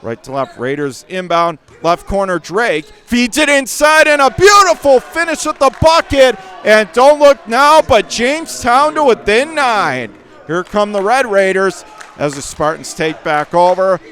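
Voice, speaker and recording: A man with a Western New York accent calling a high school basketball game live on radio, rattling off plays and rising to shouts on big moments. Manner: rapid calls that surge to excited shouts on big plays. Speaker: radio play-by-play announcer.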